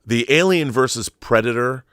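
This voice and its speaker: A man's voice: mid-range voice, normal speed, a bit bright, no echo, clean studio sound. A middle-aged man hosting an unscripted film commentary show, talking in an emphatic, digressive, opinionated fan style.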